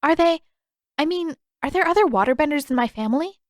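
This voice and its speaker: A woman's voice: sits high, animated, a little fast, no echo, studio quality, pitched above the performer's own voice. A woman voicing an outspoken teenage girl hero in a storybook, with a blunt, questioning manner. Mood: eager surprise.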